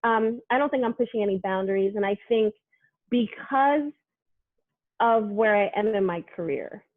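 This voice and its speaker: A woman's voice: mid-range voice, moderate pace, no echo, phone-quality sound. A sports reporter from Brooklyn, a woman, talking casually in an interview, full of "like" and "you know". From Brooklyn, New York. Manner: chatty, animated, many fillers like "like" and "you know".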